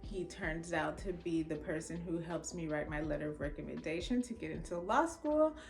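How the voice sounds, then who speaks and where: A young adult woman, a lawyer from Los Angeles whose first language was Jamaican Patois, tells her own story in a casual, expressive way and acts out the lines of people she quotes. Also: low voice, moderate pace, vocal fry, soft background music. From Los Angeles, California. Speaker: attorney. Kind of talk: personal storytelling.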